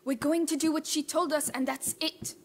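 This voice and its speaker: A woman's voice: sits high, fairly even intonation, normal speed, a little hiss or hum. An urgent, protective teenage girl. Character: determined teenage girl protecting her brother. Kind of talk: video game cutscene acting. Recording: game audio with music.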